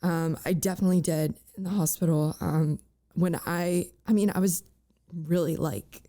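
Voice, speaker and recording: Guest, young woman, raspy with voice cracks, lowish pitch, mellow tone, halting delivery. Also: steady tone. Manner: halting, many fillers and "like"s. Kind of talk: candid personal interview.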